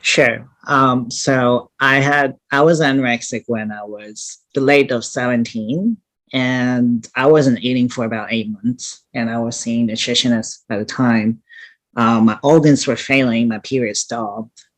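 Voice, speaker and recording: A woman's voice: extremely low pitch, no room sound. A woman from Hong Kong giving casual, straightforward answers as an interview guest. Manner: blunt, straightforward. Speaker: interview guest, competitive bodybuilder.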